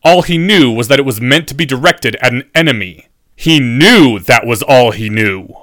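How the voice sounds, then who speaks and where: A man's voice: medium pitch, expressive, normal speed, a bit bright, no echo, clean studio sound, natural voice. A man voicing a menacing, sardonic professor in an audiobook story, formal and biting in his speech. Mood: furious, sarcastic.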